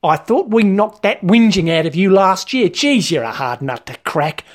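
A narrator acts out a brash Australian man from a comic storybook. He is matey but bossy and blunt, sweary and full of slang, and his pitch moves around in a lively way. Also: moderate pace, lightly acted. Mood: matey, patronising.

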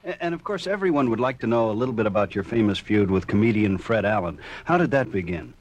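A man's voice: on the low side, expressive, fast talker. A man interviewing guests casually as a radio host.

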